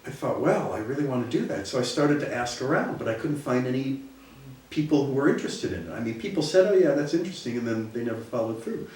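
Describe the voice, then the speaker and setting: A man from Minneapolis who runs a theater, talking thoughtfully and pausing to find his words. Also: medium pitch, expressive, darkish tone. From Minneapolis, USA. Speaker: theater owner. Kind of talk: reflective interview talk. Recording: echoey room, distant mic.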